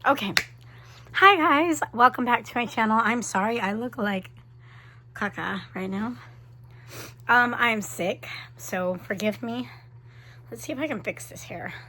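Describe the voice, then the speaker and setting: A woman vlogging to her camera in a chatty, animated way, with a cold and her voice starting to fade. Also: a little high. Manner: chatty, animated, quick asides. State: sick with a cold, voice fading. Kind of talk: casual chat to camera.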